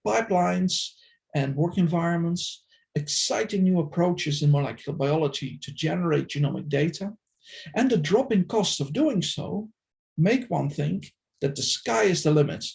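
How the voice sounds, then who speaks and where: Academic man, middle-aged, formal and deliberate, slightly higher voice with some gravel. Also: darkish tone. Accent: non-Filipino, foreign-accented English. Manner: measured, formal, deliberate delivery. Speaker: invited speaker, plant systematist. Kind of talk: prepared academic presentation.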